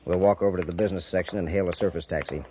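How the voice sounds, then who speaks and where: Casting: male actor as bossy villain, lowish voice, quick and curt, cold schemer in a muffled old radio serial. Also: fairly even intonation, a little mellow, close to an everyday voice. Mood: confident. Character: cold, scheming crook, bossy adult man. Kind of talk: 1950s radio villain acting. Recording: muffled, faintly noisy 1950s radio recording.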